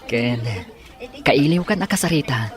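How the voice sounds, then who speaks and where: A man's voice: on the high side, expressive, unhurried, a crowd in the background. Man playing a polite young Ilocano suitor. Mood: fond, shy. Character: courteous young village suitor, gentle and fond. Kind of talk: Ilocano radio-style drama acting.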